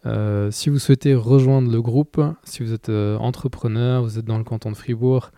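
Male podcast host outro, very dark gravelly tone, dry booth sound, faint background music. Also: mid-range voice, steady tone, moderate pace, dry recording. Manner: informal, hesitant with frequent fillers.

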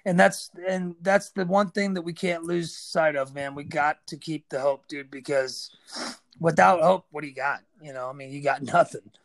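Casting: male host, somewhat high voice with heavy fry, emphatic and encouraging. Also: normal speed, no room sound. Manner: emphatic, encouraging, says "man" and "dude" a lot. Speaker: podcast host. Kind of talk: casual conversation.

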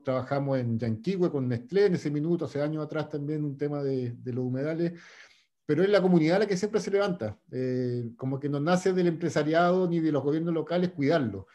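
A man's voice: on the high side, a little fast, warm tone. A man moderating an online discussion, enthusiastic and rambling.

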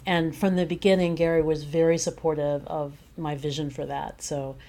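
Lower-voiced woman in reflective interview talk. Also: level tone, normal speed, dark tone. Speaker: guest playwright discussing their work; teacher.

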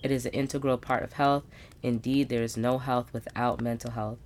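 A woman's voice: a bass voice, fairly even intonation, normal speed, darkish tone, slight background noise. Upbeat young woman podcast host. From United States. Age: 20s. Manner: casual, slangy, upbeat with emphatic asides. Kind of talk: solo podcast monologue.

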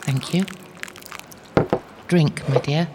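A woman acting in an audio drama as a gentle, devout older recluse who speaks in a measured, motherly, soothing way. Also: low voice, steady tone. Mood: warmly welcoming. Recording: reverberant hall, faint background noise.

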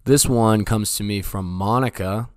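A man's voice: fairly low pitch, unhurried, no echo, clean studio sound. A man hosting a casual solo comedy podcast, talking in a rambling, jokey, sweary way like a stand-up. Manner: rambling, profane, jokey self-interrupting delivery. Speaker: podcast host.

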